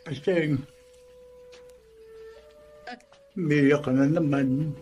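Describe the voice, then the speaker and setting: An actor playing a bitter, resigned elderly care-center resident, consoling yet gloomy, in a radio drama. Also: male voice, fairly high pitch, steady tone, a little slow, a little mellow, muffled recording, slight background noise, lightly acted. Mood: gently chiding.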